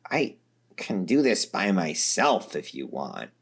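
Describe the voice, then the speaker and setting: A man voicing an angry, foul-mouthed young woman who growls her words slowly and low. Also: very animated, creaky, slightly rough, heavily acted. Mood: quiet.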